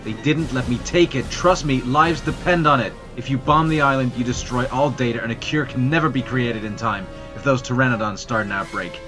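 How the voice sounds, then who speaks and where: A man's voice: medium pitch, a little fast, few pauses, close to an everyday voice. A man acting a desperate scientist in an audio drama, with music behind him. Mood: urgent pleading. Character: desperate male scientist pleading to stop a bombing.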